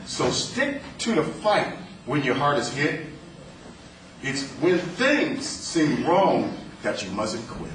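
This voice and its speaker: A man in his fifties with a loud voice, reciting a poem to a rally. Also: fairly high pitch, big pitch swings. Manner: loud, declaiming with slow emphatic delivery. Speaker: rally speaker. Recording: echoey, distant, with clear background noise.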